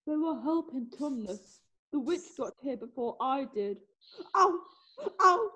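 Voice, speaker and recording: A woman's voice: high-pitched, animated, measured pace, pushed and bright, full character voice, rough recording. A woman playing a suave, sly, witty male fox with an ironic way of talking in an amateur readthrough. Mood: wounded, wry.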